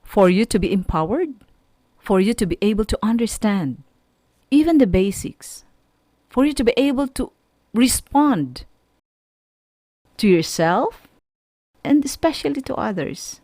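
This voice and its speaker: A woman with a Filipino accent teaching students on an educational radio program, speaking emphatically and deliberately in short, separated phrases. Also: fairly high pitch, expressive, dark tone, no echo, studio quality. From Philippines. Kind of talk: educational radio lecture, addressing students directly.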